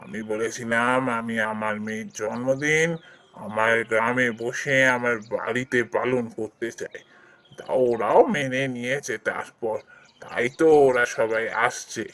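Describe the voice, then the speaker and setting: A man playing a kind, generous old village landlord in a cartoon fairy tale, explaining things in a measured way. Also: mid-range voice, steady tone, fairly bright tone, lightly acted. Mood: benevolent, earnest.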